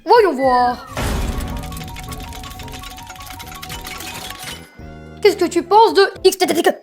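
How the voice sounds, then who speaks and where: A woman plays a flamboyant digital ringmaster from a cartoon fan dub, pitched high and chirpy. The delivery is bossy and grandly theatrical, like announcements to a circus crowd, with faint music underneath. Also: animated, normal speed, a little mellow. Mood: reassuring.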